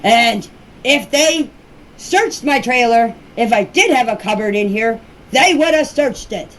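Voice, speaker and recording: A woman's voice: fairly high pitch, animated, unhurried, a bit bright. A woman giving a defensive, insistent personal account in answer to questions, speaking emphatically in an informal voice message. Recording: noisy amateur voice-clip recording.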